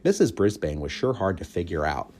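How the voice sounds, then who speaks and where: A man reading a children's book aloud as an expressive narrator. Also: low voice, fairly quick, a little hiss or hum. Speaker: storybook reader.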